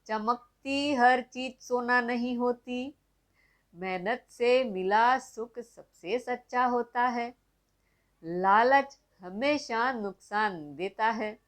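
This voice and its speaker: A woman narrating a moral bedtime story for children, speaking gently and addressing the kids directly. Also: fairly high pitch, extremely slow.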